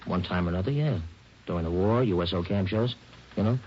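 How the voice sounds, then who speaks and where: An actor playing a folksy, breezy showbiz booking agent in a 1940s radio drama, talking in clipped slang. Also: male voice, low-pitched, quick pace, a little hiss or hum, natural voice. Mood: casual, chatty. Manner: slangy, informal, clipped phrases. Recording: muffled vintage 1940s radio recording.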